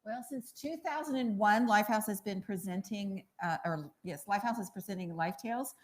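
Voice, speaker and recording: Lower-pitched American woman, measured. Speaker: director of a school theater program. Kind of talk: prepared interview answers on stage. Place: live theater stage.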